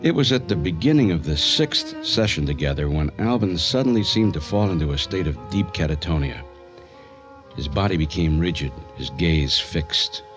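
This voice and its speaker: A man's voice: deep voice, expressive, moderate pace. A man playing a sober psychiatrist narrating a case in a radio drama. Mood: grave, measured. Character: sober male psychiatrist recounting a patient's case. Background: music.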